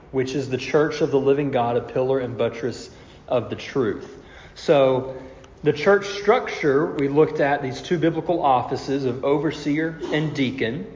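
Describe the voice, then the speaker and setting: A man's voice: on the high side, darkish tone. A man, a pastor getting over a cold, teaching through scripture step by step in an explanatory sermon. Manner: explanatory, step-by-step, rhetorical questions. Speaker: pastor preaching. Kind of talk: expository Bible-teaching sermon. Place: large reverberant room, preaching to congregation. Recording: echoey hall reverb, slightly dull sound.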